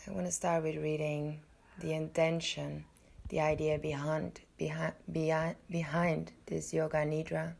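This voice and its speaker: Very slow, low-pitched woman guiding meditation. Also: flat delivery, creaky, darkish tone. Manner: soothing, unhurried, with long pauses between short phrases. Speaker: podcast host and yoga nidra guide.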